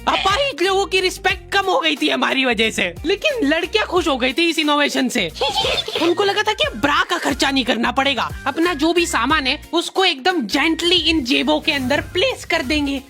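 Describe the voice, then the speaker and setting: A man narrating a comic first-person cartoon story in an exaggerated way, his voice sounding artificially pitched up. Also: expressive, moderate pace, vocal fry, pushed and bright. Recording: faint background music.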